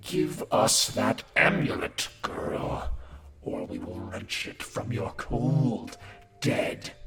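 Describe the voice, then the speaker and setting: Brief: man putting on an uncanny ragged woman, many voices at once, slow gravelly whisper over music. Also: fairly low pitch, expressive, full character voice. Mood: menacing. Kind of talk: storybook reading. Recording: music behind.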